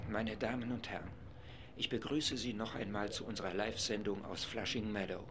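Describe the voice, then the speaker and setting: A man's voice: fairly low pitch, a crowd in the background, natural voice. An actor playing a male radio reporter giving live commentary from a packed assembly hall in a radio play. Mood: measured. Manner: brisk, informative, narrating events.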